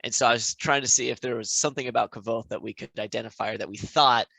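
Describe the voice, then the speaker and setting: A man with a bright, forceful voice of middle pitch co-hosts a casual discussion. The sound is dry and close, and his delivery is informal and conversational. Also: fairly even intonation, quick pace, vocal fry, no room sound. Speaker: podcast co-host.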